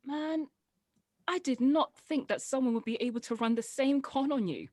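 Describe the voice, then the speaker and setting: A woman's voice: sits high, normal speed, a little mellow, no room sound, studio quality. A woman playing a sarcastic, headstrong teenage daughter in an audio drama table read, teasing her way through the lines.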